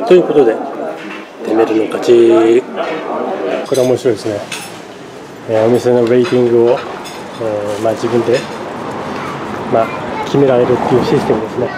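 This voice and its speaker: A man narrating his travel vlog in casual chat, thinking aloud with lots of fillers as he walks around a city and eats. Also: medium pitch. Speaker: travel vlogger. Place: outdoors on city streets and in a cafe. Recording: street noise behind, camera mic.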